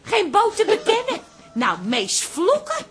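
An actress playing a cheerful, gossipy barmaid in a comic radio play, telling things in a bubbly, colloquial way. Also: female voice, extremely high pitch, huge pitch swings, slow, a bit bright, exaggerated voice. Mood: amused, chatty. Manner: bubbly, giggly, colloquial storytelling. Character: cheerful, chatty, gossipy female barmaid, Toos.

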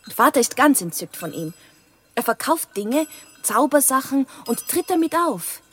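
High-voiced, naive young lady. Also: very animated, normal speed, breathy edge, faint music behind, full character voice. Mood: eager, fascinated. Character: kind, naive, eager sheltered young lady. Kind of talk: radio play acting.

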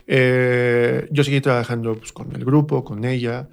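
A man from Mexico with a Mexican accent, telling anecdotes reflectively as an interview guest and often pausing to search for words. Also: medium pitch, steady tone, creaky, smooth. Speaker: interview guest, performer and drama teacher. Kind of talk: conversational anecdote in a radio interview.

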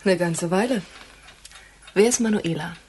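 An actress playing a sharp-tongued, teasing woman in a radio crime drama, with a mocking, blunt way of talking. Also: on the low side, unhurried, a little hiss or hum, natural voice. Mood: intimate, needling.

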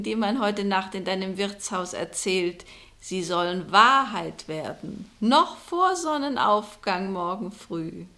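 An actress playing a mysterious, knowing fortune-teller in a vintage German radio play, declaiming poetically with hushed, breathy drops. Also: female voice, mid-range voice, exaggerated voice. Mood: incantatory. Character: mysterious fortune-teller woman casting spells, solemn and knowing. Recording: vintage 1960 radio drama recording.

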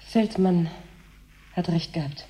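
A woman's voice: on the low side, takes it slowly, dark tone, low-quality recording. An actress playing a betrayed woman doctor in a radio drama, sober and bitter. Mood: bitter, resigned.

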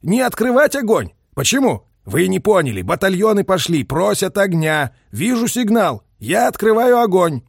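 A man's voice: mid-range voice, big pitch swings, normal speed, creaky, slightly rough, dry recording, clean studio sound, exaggerated voice. A man voicing a harsh, impatient Soviet colonel in battle, barking clipped commands in a war audiobook. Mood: agitated, shouting. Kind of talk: audiobook character voice, war novel.

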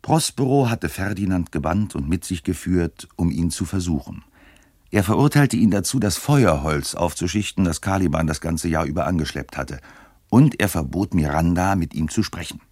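A deep-voiced, dry-sounding storyteller. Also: male voice, animated, a little mellow, dry recording. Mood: calm, knowing. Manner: measured, literary storytelling. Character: calm, knowing male storyteller. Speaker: narrator. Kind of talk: scripted radio play narration.

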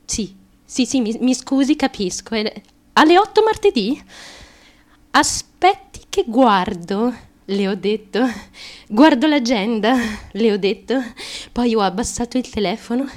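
An actress playing an insecure, eager-to-please young woman chasing a performing career in a radio theatre monologue, halting and stammering with nervous laughter. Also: a little high, expressive. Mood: subdued, apologetic. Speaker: stage actress.

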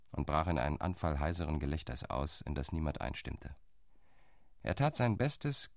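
A man's voice: sits low, gravelly, a little mellow, dry recording, clean studio sound. An actor playing an older Zionist negotiator, narrating his memories in a wry, reflective way. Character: Zionist negotiator, older man, dry and ironic. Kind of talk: radio drama memoir narration.

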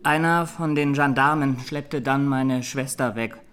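An actor playing a haunted young Armenian defendant giving grave, deliberate testimony in a courtroom radio drama. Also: male voice, mid-range voice, steady tone, fairly bright tone, smooth, lightly acted. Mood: anguished.

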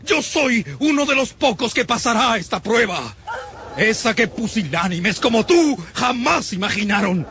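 A man's voice: very high voice, expressive, measured pace, bright, forceful tone, a little hiss or hum, full character voice. An actor playing a fanatical, contemptuous male survivor in a radio drama, haranguing and menacing in a declamatory way. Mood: furious, menacing.

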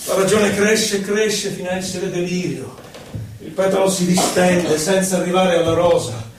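A man's voice: high-pitched, steady tone, normal speed, noisy background. A man playing an anguished, impassioned poet, reciting verse in a solemn, declamatory, theatrical way. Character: anguished male poet on an inward journey, impassioned. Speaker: stage actor. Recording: very echoey hall, distant microphone.

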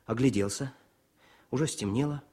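An actor playing a young, modest, cheerful wartime poet and officer in a Soviet radio drama, speaking with expressive eagerness. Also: male voice, medium pitch, normal speed, close to an everyday voice. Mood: animated storytelling. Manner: expressive, eager, declaims verse.

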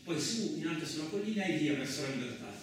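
An actor playing a frightened, injured farm labourer, telling his story in the first person as a halting, repetitive theatrical radio monologue. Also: a little high, expressive, slight background noise, natural voice. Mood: tense. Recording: very echoey, distant, loud music behind. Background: music.

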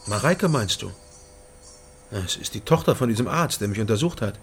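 A man's voice: on the low side, lively intonation, normal speed, breathy edge. An actor playing a weary, guarded East German man who narrates and speaks in a radio crime drama, restrained and hesitant. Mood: guarded, explaining.

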